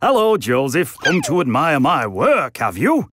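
A man's voice: fairly high pitch, huge pitch swings, a little slow, heavy background noise. A man voicing a jolly, absent-minded older handyman in a children's cartoon, bumbling along with chuckles and hums. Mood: chatty.

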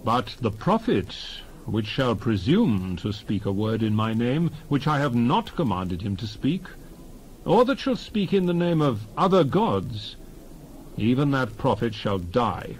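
A man narrates scripture in a solemn, declamatory style. His mid-range voice rises and falls with lively emphasis through measured phrases. There is clear background noise in the recording. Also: medium pitch, poor audio. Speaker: Bible narrator. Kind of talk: dramatized scripture reading.

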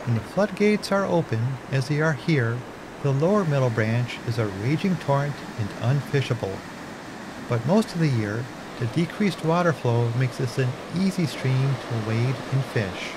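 Calm man narrating a fishing trip. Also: medium pitch, moderate pace, noisy background. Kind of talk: scripted narration.

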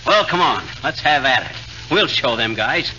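An actor playing a folksy, boastful, blustering American husband in a 1940s radio comedy. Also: male voice, medium pitch, lively intonation, normal speed, natural voice. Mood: eager. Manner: folksy slangy grammar, blustering. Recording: noisy, muffled 1940s radio recording.

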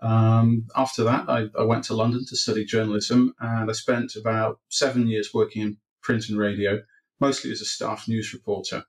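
A man's voice: on the low side, little pitch movement, normal speed, low-quality recording. A middle-aged man from Wales answering interview questions on a video call from his home office, hesitant, with many ums and restarts. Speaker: interview guest, developer advocate. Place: home office with bookshelves, indoors. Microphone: video call webcam.

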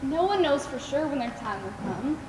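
A high-pitched, folksy Southern woman, tender and devout, acted by a woman in a stage play. She is heard from far off in an echoey theater with clear background noise. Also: animated, moderate pace. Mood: warm, reflective. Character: kind, devout Southern woman caring for the dying. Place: large theater stage, recorded by far camera.

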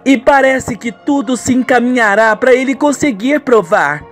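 A man's voice: very high-pitched, expressive, moderate pace, fairly bright tone, faint music behind, voice pushed up. A man voicing a melodramatic, exclamatory young woman in a radio soap. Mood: gossipy. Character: young woman, tender, scheming or gossipy, melodramatic.

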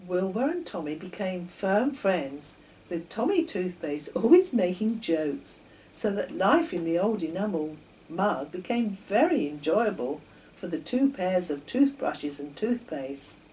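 An older woman reads a children's storybook aloud with a lively, swooping delivery. She stumbles a little over the page. The mic sits at a distance in a home room, with slight noise behind her. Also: lowish voice, unhurried. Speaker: author reading her own children's stories. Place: home kitchen, indoors.

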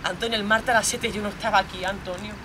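A woman's voice: mid-range voice, steady tone, fast talker, background noise, close to an everyday voice. A woman playing a frightened young girlfriend in a dramatic monologue, pleading and protesting in a Spain accent. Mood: pleading, upset. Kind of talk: theatrical monologue role-play.

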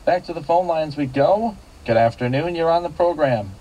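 A man's voice: fairly high pitch, moderate pace, mellow, dull audio. A man hosting a local radio classifieds call-in show, politely repeating details back. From United States.

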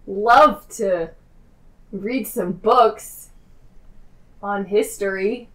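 A woman's voice: medium pitch, drawn-out words. Woman, tabletop player, casual joking talk, strong room echo, mid-range voice. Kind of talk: casual, joking in-game chat. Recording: echoey room, slightly distant mic.

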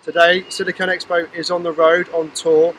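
A man's voice: fairly high pitch, little pitch movement, normal speed, fairly bright tone. A man in his forties presenting casually on location inside a large factory. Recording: music behind, factory hum.

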